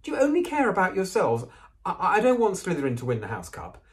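A man's voice: medium pitch, huge pitch swings, normal speed, darkish tone, pitched above the performer's own voice. A man voicing a bossy, indignant young schoolgirl with a snappish, nagging manner in a storybook reading.

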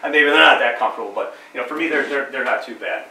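A man's voice: medium pitch, fast talker, sharp tone, a little hiss or hum. A middle-aged man with a cold and a slightly nasal voice gives a friendly, informal history talk to a small audience, with many fillers and repeated words. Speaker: living history presenter. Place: small library meeting room. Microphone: camera across the room. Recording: roomy, distant camera sound.

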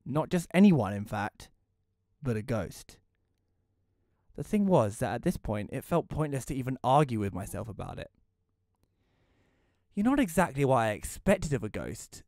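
A man's voice: mid-range voice, very animated, normal speed, gravelly, pauses often, a little mellow, dry recording. A man playing a reclusive, wry widower, narrating an audio play in the first person in a dry, reflective way.

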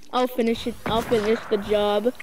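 A young, high-pitched voice plays along in a casual game roleplay. The talk is slow, and the voice chat has clear background noise. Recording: noisy, clicky game voice chat.

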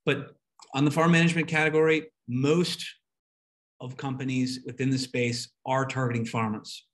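A man's voice: mid-range voice, flat delivery, moderate pace. A man presenting a webinar over a video call, explaining slides in a conversational, loosely phrased way.

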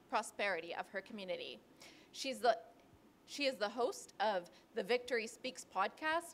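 Young woman moderator, slightly high voice. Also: fairly bright tone. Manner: reads a list with small restarts. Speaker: panel moderator. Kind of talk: reading a speaker introduction. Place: panel stage in a large indoor room. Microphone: handheld mic.

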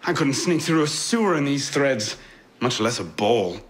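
An actor playing a quick-witted, flippant young French rogue in a video game. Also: male voice, mid-range voice, big pitch swings, close to an everyday voice. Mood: wry, jaunty. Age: young adult. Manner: flippant, sardonic.

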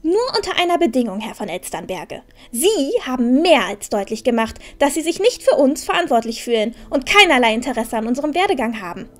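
An actress playing a proud, sharp-tongued, defiant teenage girl in a fantasy audio drama, with a pointed, haughty manner. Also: high-pitched, very animated, fairly quick, hardly any pauses, soft background music. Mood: cool, defiant.

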